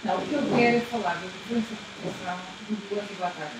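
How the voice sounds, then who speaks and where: A woman's voice: mid-range voice, racing. A woman talking in casual discussion, emphatic and repetitive, often asking rhetorical questions. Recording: echoey room, distant mic, noisy.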